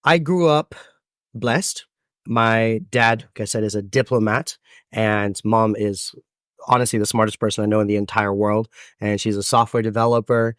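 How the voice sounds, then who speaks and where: Animated American-accented man telling his story. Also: fairly low pitch, no echo, clean studio sound. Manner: expansive, animated storytelling with dramatic pauses. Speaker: podcast guest, event MC. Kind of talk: storytelling interview answers.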